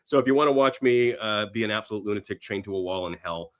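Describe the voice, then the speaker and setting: A man with a fairly low voice speaks as a guest in a relaxed interview. His delivery is conversational and unscripted, with a self-deprecating, joking streak. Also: quick pace, muffled recording. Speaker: podcast guest; actor and screenwriter. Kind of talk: casual interview chat.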